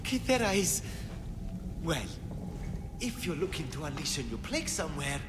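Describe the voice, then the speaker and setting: A man's voice: a little high, sing-song, normal speed, heavy background noise. A man playing a theatrical, fanatical devotee of the gods in a video game cutscene. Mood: wry, eager. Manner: theatrical, grandiose. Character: fanatical male devotee seeking eternity among the gods. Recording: long hall reverb under loud music.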